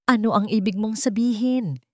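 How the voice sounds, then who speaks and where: A woman's voice: a little high, normal speed, darkish tone, no echo, clean studio sound, voice pushed up, close to an everyday voice. A woman voicing a hurt but devoted young woman in a romantic audio drama, earnest and pleading. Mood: hurt, questioning.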